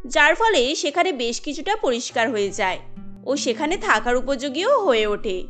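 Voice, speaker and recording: A woman's voice: sits high, a bit bright. A woman narrating a scripted cartoon story as a storyteller.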